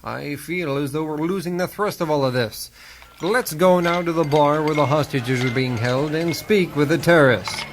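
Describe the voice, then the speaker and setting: Mock-news radio comedy: earnest male anchor actor, high voice, formal and put-upon, noisy background. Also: moderate pace, close to an everyday voice. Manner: formal, stiffly courteous. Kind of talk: mock live news broadcast, radio theater. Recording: clear background vehicle-like noise.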